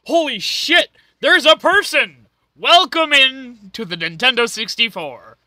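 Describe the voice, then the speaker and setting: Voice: high-pitched, lively intonation, unhurried, bright, forceful tone, dry recording, exaggerated voice. A performer voicing a manic, foul-mouthed, bossy cartoon ringmaster in an amateur fan dub, theatrical with hesitant asides. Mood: agitated, brash.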